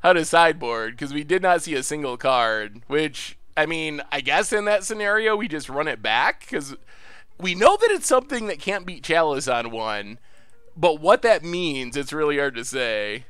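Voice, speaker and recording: High, lively, slightly congested man. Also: normal speed, clear, resonant tone, no echo. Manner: excitable, laughs often, cheers at wins. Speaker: card game streamer. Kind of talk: casual live-stream gameplay commentary.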